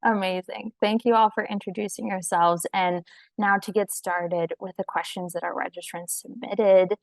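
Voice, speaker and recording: A woman's voice: mid-range voice, steady tone, moderate pace, vocal fry, no echo, rough recording. A young woman moderating a student panel over a video call, explaining things in an organized way. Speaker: panel host. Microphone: video call microphone.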